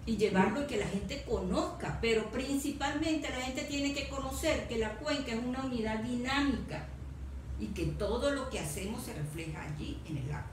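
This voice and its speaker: A Venezuelan woman speaking earnestly and emphatically as a panelist, explaining her views in a discussion. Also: a little high. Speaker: panelist on environmental issues. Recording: echoey room, faint background noise.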